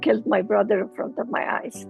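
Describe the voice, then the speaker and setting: A middle-aged woman with a Lebanese accent, telling her personal story as an interview guest, halting as she searches for words. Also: mid-range voice, steady tone, gravelly, darkish tone, soft background music.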